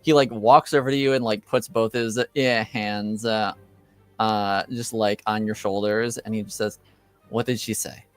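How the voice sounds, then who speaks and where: A man improvising narration as a tabletop game master, halting as he searches for words. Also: lowish voice, fairly even intonation, no room sound, soft background music.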